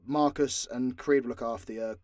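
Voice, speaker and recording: A man's voice: medium pitch, steady tone, moderate pace, a little mellow, no room sound, clean studio sound. A British man casually answering fan questions off the cuff, sweary and joking with mock exasperation. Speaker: audio roleplay creator and voice actor.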